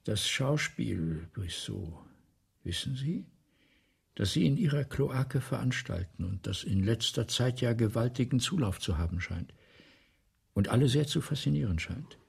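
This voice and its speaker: An actor playing a weary, brooding writer in a German radio play, gravelly and breathy, speaking in a halting, formally polite way. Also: male voice, medium pitch, animated, full character voice. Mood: hesitant, pleading. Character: brooding, weary male writer, courteous and hesitant.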